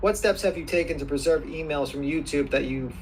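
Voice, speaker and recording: A man questioning a witness as an attorney, formal and persistent, repeating questions deliberately. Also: a little high, slight background noise. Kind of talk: formal deposition cross-questioning.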